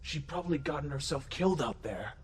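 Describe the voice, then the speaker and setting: A man's voice: a little high, expressive, brisk, slight background noise, lightly acted. Man playing a nervous, stammering pony minion. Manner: stammering, timid, defensive. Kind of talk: animated fan audio drama acting.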